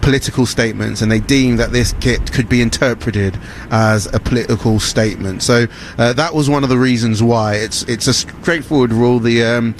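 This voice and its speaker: A man's voice: medium pitch, fairly even intonation, moderate pace, few pauses, a little hiss or hum. A male news reporter doing field interviews and reporting, conversational and a bit hesitant with fillers. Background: music.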